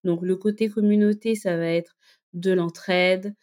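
Mellow, low-voiced woman explaining at length. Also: fairly even intonation, unhurried. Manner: explanatory, thinks aloud with many hesitations. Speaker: guest, founder of a community for entrepreneur mothers. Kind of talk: casual interview conversation.

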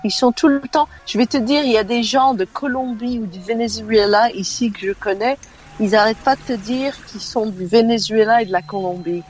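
A woman's voice: on the high side, brisk. A woman in her fifties from Belgium, calling into a radio show by phone and giving her opinions frankly, often restarting her sentences. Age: 50s. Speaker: radio caller. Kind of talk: call-in radio chat.

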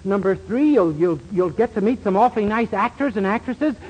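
Naive, high-voiced young store clerk. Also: male voice, lively intonation, brisk, a little mellow, breathy edge. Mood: eager. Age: young adult. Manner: earnest, deferential, innocent. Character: naive, polite, literal-minded young male store clerk. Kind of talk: 1940s radio sitcom acting. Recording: dull, noisy old 1940s radio recording.

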